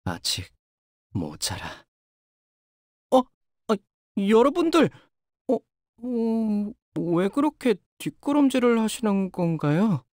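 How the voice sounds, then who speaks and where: An actor playing a timid, polite young male fighter in a video game, hesitant and soft-spoken but capable of cold focus. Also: mid-range voice, huge pitch swings, slow pace, long pauses, no echo, studio quality. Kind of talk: Korean video game character voice lines.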